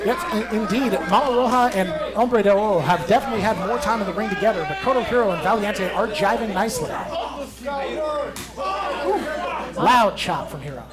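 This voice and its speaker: A man calling a wrestling match play by play, excitable and quick with quips. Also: high-pitched. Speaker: wrestling commentator. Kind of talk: play-by-play commentary. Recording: loud crowd behind.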